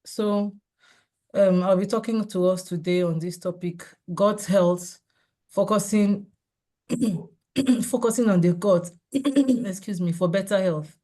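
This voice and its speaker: A woman with a cold, hoarse and clearing her throat now and then, giving a measured slide-based health seminar over a video call. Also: medium pitch, mellow. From Nigeria. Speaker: seminar speaker. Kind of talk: online health talk with slides.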